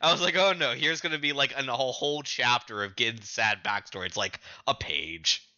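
A man co-hosting a podcast, giving a casual, halting recap of comic chapters. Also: mid-range voice, bright, forceful tone, no room sound, muffled recording. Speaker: podcast co-host.

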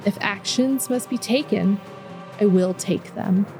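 Cool, gentle female leader, read by a woman, unhurried. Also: medium pitch, music in the background, close to an everyday voice. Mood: calm. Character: calm authoritative woman leader.

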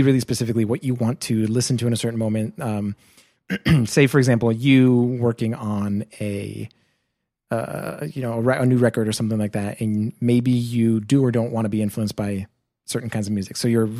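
Mellow-voiced man musing aloud. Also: medium pitch, fairly even intonation, a little fast, dry recording, clean studio sound. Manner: thoughtful, works through questions aloud. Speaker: podcast host. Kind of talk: casual chat.

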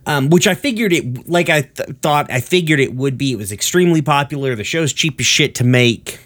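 A man's voice: a little high, expressive, a little fast, a bit bright. Man, podcast host, casual chat, laid-back, joking, sweary.

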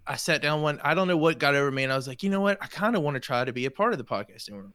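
Smooth-voiced chatty male podcast host. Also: on the high side, animated, racing, a bit bright, no room sound. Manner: rambling, restarts, chatty. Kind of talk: casual interview podcast.

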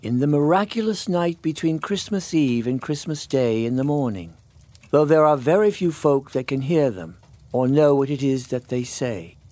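A man reading a children's storybook as a scripted narration, in an expressive, measured storytelling manner. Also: on the high side, no echo.

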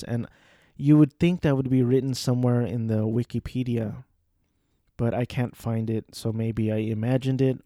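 A man's voice: medium pitch, mellow, no echo, studio quality. A man talking casually on his own, hesitant, with many fillers and restarts. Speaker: solo commentator. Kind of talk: casual rambling chat.

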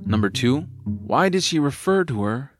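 A man narrating a scripted story in an expressive, dramatised storytelling manner. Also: medium pitch, normal speed, over music.

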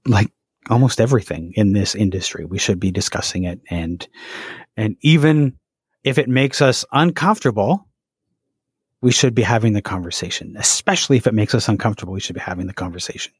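A man's voice: fairly low pitch, fairly quick, husky, no echo, studio quality. A man hosting a podcast, speaking hesitantly with many fillers and restarts. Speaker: podcast host. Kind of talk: podcast interview.

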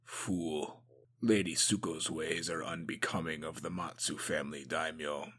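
A man's voice: lowish voice, lively intonation, slow, fairly bright tone, exaggerated voice. A man voicing a stern old male samurai who growls his words. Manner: growling, gruff. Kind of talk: audiobook character voice.

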